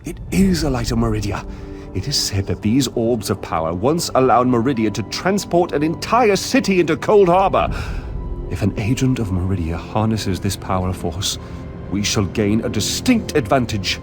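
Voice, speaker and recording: An actor playing an eager scholarly mage in a video game, with a formal, emphatic and theatrical manner. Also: male voice, mid-range voice, animated, moderate pace, over music, close to an everyday voice. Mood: excited. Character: eager scholarly male mage leading a war campaign. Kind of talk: dramatic video game acting.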